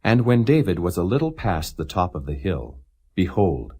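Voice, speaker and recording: A man with a low, husky voice narrates scripture in a solemn, measured way. His pitch moves up and down freely through the phrases, while the reading stays plain and non-dramatized. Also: sing-song, warm tone. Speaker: Bible reader.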